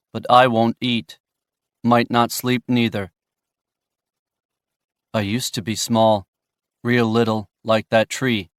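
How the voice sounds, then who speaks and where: A man voicing the inner thoughts of a stubborn young man who cannot speak, in a flat, plainspoken manner. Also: mid-range voice, smooth, no room sound, clean studio sound, lightly acted.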